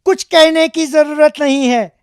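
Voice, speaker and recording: A narrator voicing a talkative, greedy young boy in a children's cartoon story, loud and insistent. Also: high-pitched, fairly even intonation, measured pace, no echo, studio quality, voice pushed up. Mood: impatient, greedy.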